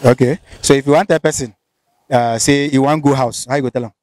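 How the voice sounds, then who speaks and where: A man's voice: medium pitch, steady tone, fairly quick, darkish tone, no echo. A man with a Nigerian Pidgin accent interviewing someone, asking probing questions and repeating them. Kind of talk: casual street interview.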